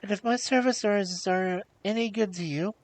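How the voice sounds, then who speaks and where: A woman voicing a young fairy-tale princess, gentle and sorrowful, with a polite, pleading, meek manner. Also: medium pitch, moderate pace, no echo, pitched above the performer's own voice, lightly acted. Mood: sad, meek. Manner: plaintive, polite, submissive. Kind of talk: storybook character voice.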